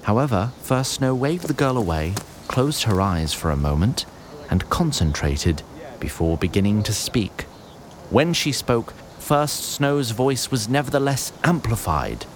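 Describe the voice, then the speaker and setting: A man's voice: medium pitch, big pitch swings, unhurried. A man reading scripted fantasy narration in a literary storytelling manner. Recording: noisy background ambience.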